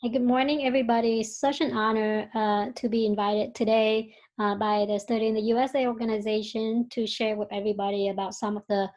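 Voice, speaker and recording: A woman with a Vietnamese accent speaks over a video call, presenting in an earnest, personal way and leaning on small fillers as she goes. Her voice sits a little high for a woman. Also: little pitch movement, brisk, hardly any pauses, darkish tone. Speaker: company founder and presenter. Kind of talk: webinar presentation.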